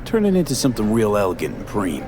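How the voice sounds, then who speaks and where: Clipped, probing mercenary, music behind. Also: male voice, on the low side, huge pitch swings, quick pace. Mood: cool, probing. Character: tough, probing cyberpunk mercenary investigating a death. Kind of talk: video game voice acting.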